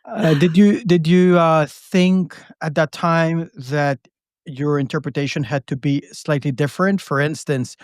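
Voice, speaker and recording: A man's voice: a little high, fairly even intonation, a little slow, no echo, studio quality. A man hosting a casual interview, searching for his words as he goes. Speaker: podcast host.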